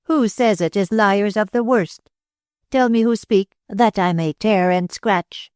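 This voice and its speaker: A woman's voice: mid-range voice, slow, a little mellow, no echo, studio quality, voice pushed up, close to an everyday voice. A woman voicing a fiery, jealous Spanish dancer who speaks broken English with a Spanish accent and a tempestuous, dramatic manner. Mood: passionate.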